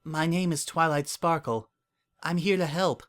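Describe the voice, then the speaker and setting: A man voicing a gentle, helpful female unicorn in a storybook reading, speaking in a careful, friendly way. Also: fairly high pitch, steady tone, unhurried, pitched above the performer's own voice. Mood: kind, reassuring.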